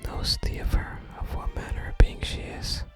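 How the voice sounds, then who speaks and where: An ASMR gamer voicing a solemn, archaic-speaking sage from a fantasy game in a hushed whisper. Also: moderate pace, natural voice. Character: solemn archaic sage guarding a sacred staff. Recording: soft music under the whisper.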